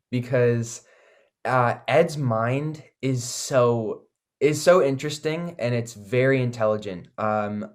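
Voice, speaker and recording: A man with a Canadian accent giving casual, enthusiastic interview answers, often pausing with "like" and "you know". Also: mid-range voice. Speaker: recording artist, interview guest.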